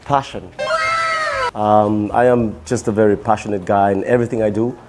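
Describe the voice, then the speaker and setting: A young man, a musician answering quick-fire interview questions in a tired, strained voice, halting and repeating his words. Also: on the low side, steady tone, very noisy. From Cameroon. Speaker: musician guest.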